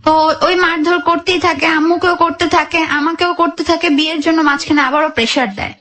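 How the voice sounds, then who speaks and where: A young woman with a high voice and a Bangladeshi accent. She speaks as a guest recounting her own life in a radio interview, going through it in detail and acting out what people said to each other. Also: fairly even intonation, a little fast, fairly bright tone. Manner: narrates in detail, re-enacting conversations. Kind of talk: personal storytelling in a radio interview.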